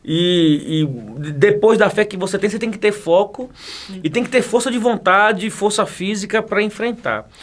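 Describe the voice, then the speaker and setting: A man's voice: sits high, normal speed, fairly bright tone. A man, chatting as an interview guest who jokes and laughs often, repeating words as he talks. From Bahia, Brazil. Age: 40s. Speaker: guest, federal police chief and teacher. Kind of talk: casual interview talk.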